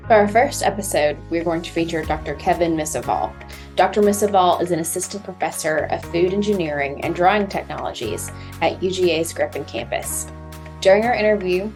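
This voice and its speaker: A woman's voice: fairly low pitch, darkish tone. Woman reading a scripted intro over guitar. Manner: smooth, read from a script. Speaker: show host. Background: faint guitar music.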